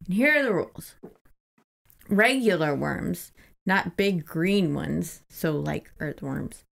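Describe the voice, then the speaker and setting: Gravelly-edged woman podcast co-host. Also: on the low side, expressive, slow. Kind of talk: casual book discussion podcast chat.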